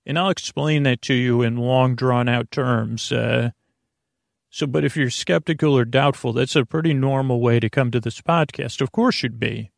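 Creaky-voiced man rambling haltingly. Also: medium pitch, dry recording, studio quality. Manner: hesitant, halting, with frequent digressions and lost trains of thought; drawn-out, whimsical, self-deprecating delivery. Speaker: host of a bedtime podcast. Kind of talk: rambling, meandering monologue to listeners.

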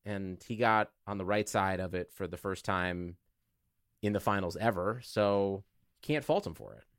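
A man's voice: sits low, normal speed, no echo, clean studio sound. An American man co-hosting a podcast, debating sports in a casual, conversational way. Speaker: podcast co-host.

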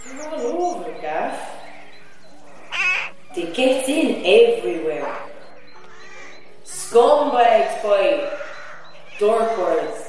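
An actor playing a hostile, territorial bird, sneering slowly in an audio drama. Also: medium pitch, very animated. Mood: scornful, hostile. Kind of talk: dramatic audio play excerpt. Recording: cavernous echoing reverb with music.

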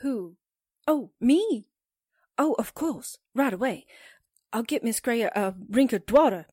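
A woman's voice: on the high side, animated, a little slow, warm tone, husky, dry recording, studio quality. A woman playing a perky, sassy, scatterbrained secretary in a 1940s-style radio drama, playful and sarcastic. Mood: flustered, eager.

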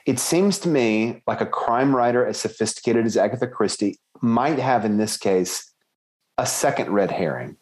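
A man co-hosting a casual book-club podcast, thinking aloud and hedging as he weighs theories with lively emphasis. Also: mid-range voice, normal speed, poor audio. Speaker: podcast co-host.

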